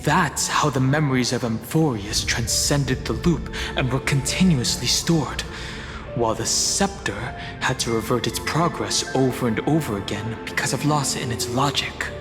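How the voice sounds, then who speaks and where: A man playing a solemn, composed scholar in a video game, explaining things gravely and deliberately. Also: mid-range voice, close to an everyday voice. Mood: solemn, reflective. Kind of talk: dramatic game story exposition. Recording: long reverb, music behind. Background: music.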